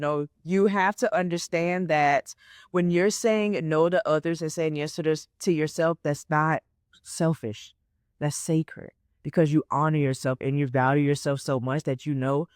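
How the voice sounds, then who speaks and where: A woman's voice: low-pitched, darkish tone, dry recording, studio quality. A woman in her twenties, a life coach hosting a solo motivational podcast, talking casually and often checking in with "right?" and "okay?". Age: 20s. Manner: repeats herself to stress points.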